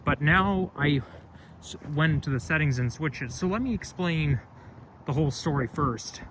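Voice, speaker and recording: A man's voice: on the high side, expressive, moderate pace. Chatty young man walking outdoors. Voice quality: loud voice. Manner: rambling, chatty, self-correcting. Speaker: vlogger. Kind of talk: casual walking vlog narration. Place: outdoors on a street. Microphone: phone camera held while walking. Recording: outdoor street noise.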